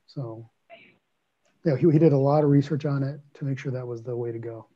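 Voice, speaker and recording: A man's voice: mid-range voice, fairly even intonation, brisk. A man in his thirties or forties giving an informal update at a board meeting over a video call. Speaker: board member reporting. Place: small room at home on video call. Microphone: computer mic on video call. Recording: muffled video call audio.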